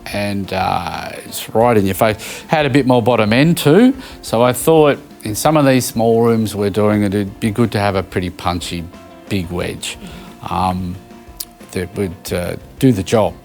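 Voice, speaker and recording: A mature Australian man being interviewed as a sound engineer, telling anecdotes informally in halting phrases. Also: lowish voice, vocal fry, a little mellow, faint music behind. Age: middle-aged or older. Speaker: interviewed live sound engineer and audio company owner. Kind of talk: casual interview reminiscing.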